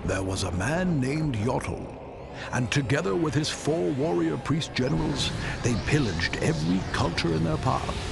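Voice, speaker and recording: A cursed, immortal warrior king recounting his past in a game cutscene. His gravelly voice is solemn, deliberate and heavy with remorse, over loud music. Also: mid-range voice. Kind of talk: dramatic video game cutscene narration.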